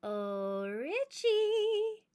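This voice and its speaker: A woman's voice: extremely high pitch, sing-song, drawn-out words, darkish tone, voice pushed up, full character voice. A woman voicing a feisty, indignant young girl in a storybook, defiant and emphatic. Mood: sweetly scheming. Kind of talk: read-aloud storybook character voice.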